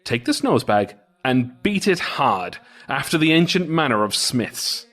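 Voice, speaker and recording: A man voicing a cunning, cocky soldier in a folktale, with a brisk, boastful, commanding manner. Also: medium pitch, sing-song, a bit bright.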